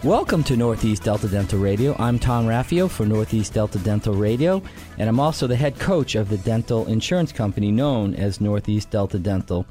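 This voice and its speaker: A man's voice: lowish voice, expressive, a little fast, mellow, faint music behind. A middle-aged man hosting a casual radio talk show, rambling with many fillers and restarts. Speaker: radio show host and company head. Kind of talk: casual radio chat and interview. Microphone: studio microphone.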